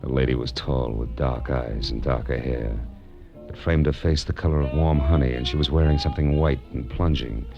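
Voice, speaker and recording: A man's voice: very deep voice, steady tone, normal speed, dull audio. An actor playing a wry, tough-talking American private detective, narrating his case in 1940s radio drama style. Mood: wry, tense. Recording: vintage radio drama with music underneath.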